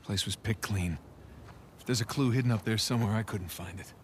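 A man's voice: lowish voice, fairly quick, slight background noise, natural voice. An American man playing a wry, restless young treasure hunter in a video game, talking casually and often muttering to himself. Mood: earnest, hurried. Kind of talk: naturalistic video game acting. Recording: game ambience behind the voice.

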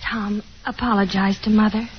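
An actress playing a shy, fragile young daughter, timid and pleading, in a 1950s radio drama. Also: female voice, medium pitch, flat delivery, moderate pace, highs cut off, close to an everyday voice. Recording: band-limited 1950s radio, faint hiss.

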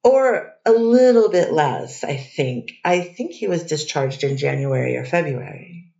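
A woman's voice: deep voice, expressive, measured pace, vocal fry, dark tone, muffled recording. Hedging woman questioning a witness, echoey. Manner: hesitant, hedging questions with many restarts. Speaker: defense attorney. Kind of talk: courtroom questioning. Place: courtroom. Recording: distant echoey courtroom audio.

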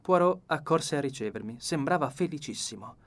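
A man's voice: on the high side, lively intonation, moderate pace. A man reading a novel as an audiobook narrator in scripted literary narration.

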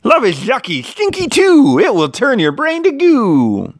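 Storyteller doing a bossy little cartoon paper heart, high and loud, swoops all over, scornful. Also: wildly swooping pitch, measured pace, no echo, studio quality, full character voice. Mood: taunting. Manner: loud, exclaiming, dramatic.

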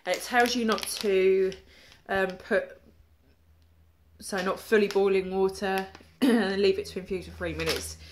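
A young woman vlogs from her living room, her phone held at arm's length. She talks slowly and chattily, full of ums and likes, and sounds a bit stuffy from a cold. Faint background noise sits under her voice. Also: mid-range voice, steady tone. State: congested with a cold. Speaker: makeup vlogger. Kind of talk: casual chatty vlog. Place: home living room, indoors.

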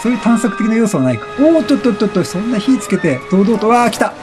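A man's voice: high-pitched, sing-song, fast talker. A man doing chatty, reactive let's-play commentary over a video game, repeating short exclamations. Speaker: gaming streamer. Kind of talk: excitable let's-play commentary, reading game text aloud. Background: video game music.

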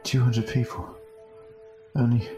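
A man's voice: medium pitch, little pitch movement, takes it slowly, music in the background, lightly acted. A man playing a wary space station survivor in an amateur audio drama.

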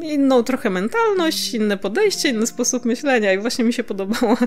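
A woman's voice: fairly high pitch, animated, a little fast. A woman chatting casually and reminiscing as a podcast co-host, giggly and a little hesitant, letting sentences trail off.

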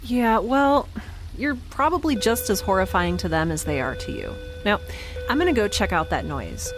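A woman's voice: mid-range voice, very animated, normal speed, music in the background, lightly acted. A woman playing a chatty, quirky, anxiously upbeat space dweller in an audio drama, rambling wryly and naturally. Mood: upbeat, defensive. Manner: rambling, wry, self-reassuring. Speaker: lead character. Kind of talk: naturalistic audio drama acting, talking to herself.

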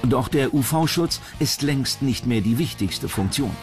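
A man's voice: mid-range voice, expressive, unhurried. Male documentary narrator over music. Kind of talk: scripted narration. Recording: music bed under the voice. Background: background music.

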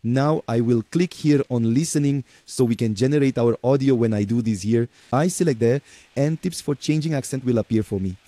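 Give me a chatty man with a Portuguese accent walking through a software tutorial, warm and dark, close and dry. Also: mid-range voice, fairly quick, dry recording. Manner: chatty, addresses viewers as folks, frequent okay and right. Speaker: tutorial presenter. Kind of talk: screen-recorded software tutorial.

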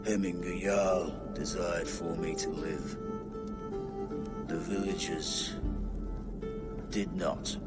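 An actor playing a grave, stern old blind hermit in a video game, speaking in a solemn, cryptic, deliberate way. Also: male voice, low voice, fairly even intonation. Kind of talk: dramatic video game acting. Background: music.

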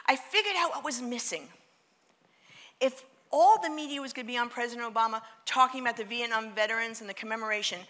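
A middle-aged woman with a New York accent giving a lively motivational talk on a theater stage, drawing the audience into call-and-response. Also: a little high. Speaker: keynote speaker.

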